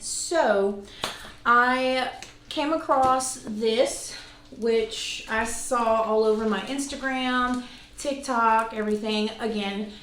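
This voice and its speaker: A woman's voice: on the high side, a bit bright. A woman of about forty chatting casually and informally to viewers as a vlog host reviewing products. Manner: informal, conversational, self-deprecating asides. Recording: echoey room, faint background noise.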